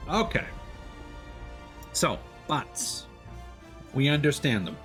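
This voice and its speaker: A man chatting casually as he plays a video game on stream, often pausing and restarting mid-thought. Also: medium pitch, sing-song, fairly bright tone. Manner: chatty, hesitant mid-thought, playful exclamations. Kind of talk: casual gameplay commentary and chat. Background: faint game music.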